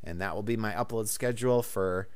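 Casting: male gaming channel host, fairly low smooth voice, informal and polite, talking straight to viewers. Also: brisk. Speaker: video game channel host. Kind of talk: casual audio log update to viewers.

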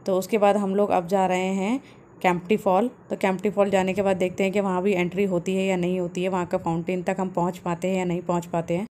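A woman, calmly narrating a family travel vlog as a voice-over. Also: lowish voice, quick pace. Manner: calm, explanatory, steady. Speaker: travel vlogger.

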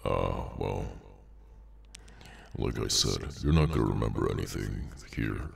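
A man voicing a weary, menacing demonic henchman in an ASMR audio roleplay, sardonic and low-key, with a gravelly voice. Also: lively intonation, heavily acted. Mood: calm menace. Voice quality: very deep, gravelly, creaky. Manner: slow, low-key, casually sinister, sardonic.

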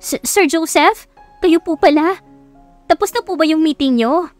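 A woman's voice: very high voice, moderate pace, dry recording, faint music behind, voice pushed up. A woman voicing a shy, easily flustered young woman tutor in a romance audiobook. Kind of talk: romance audiobook character acting.

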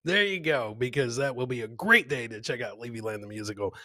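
A man's voice: medium pitch, fairly quick, sharp tone, no room sound, clean studio sound. A middle-aged man hosting a live clip show, chatting casually and addressing viewers directly like a hype announcer. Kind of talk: casual livestream chat and commentary. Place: indoor home streaming setup. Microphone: close boom microphone with headphones.

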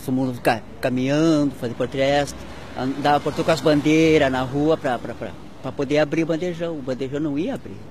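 A man's voice: fairly high pitch, fairly quick, a little mellow, slight background noise. A man in his forties talking in an interview, tripping over and repeating words.

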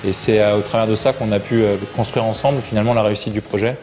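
A man in his thirties, the client's project manager, speaking in a measured, reflective way in a documentary interview in a site office. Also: fairly low pitch, fairly even intonation, darkish tone. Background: background music.

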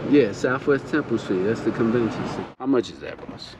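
A man's voice: medium pitch, fairly quick. A middle-aged man chatting casually and informally, like a street-smart vlogger. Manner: chummy, informal street talk. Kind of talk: casual chat. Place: inside a moving minivan. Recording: loud car and road noise.

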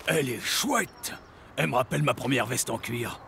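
Casting: tough, sentimental older biker leader, animated series, gravelly and expressive. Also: male voice, medium pitch, normal speed, a little hiss or hum. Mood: gruff. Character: tough aging biker gang leader, sentimental mama's boy. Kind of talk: French cartoon acting.

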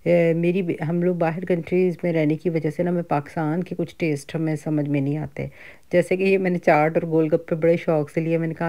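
A woman's voice: low voice, dark tone. A middle-aged woman with a Pakistani Urdu accent, chatting casually through a grocery and cooking vlog. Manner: chatty, conversational, frequent fillers and asides. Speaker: home cooking vlogger.

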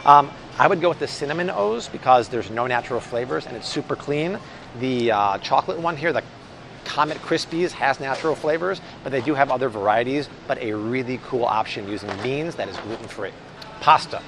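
American guy in his 30s, chatty n upbeat food review vlog, mid pitch, store noise behind him. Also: fairly quick, fairly bright tone. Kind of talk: casual enthusiastic product review to camera. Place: large supermarket aisle, indoors. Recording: clear store ambience and hum.

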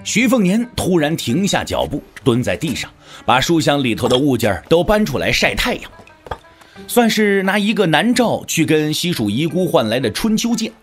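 A man narrating a martial-arts novel as a dramatic audiobook, with a measured, expressive storyteller's delivery. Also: on the high side. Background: background music.

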